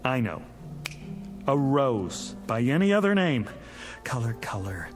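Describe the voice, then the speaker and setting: An actor playing a lovesick, desperate, impetuous suitor, eager and effusive, in a vintage television drama. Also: male voice, medium pitch, sing-song, measured pace, music in the background. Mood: excited.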